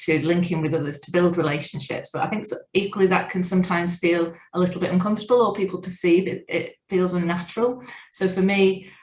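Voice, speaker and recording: A woman in her forties, not local to Northern Ireland, answering interview questions in a measured way with frequent restarts. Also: fairly low pitch, echoey room. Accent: non-local, unplaced. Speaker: guest, business organisation director.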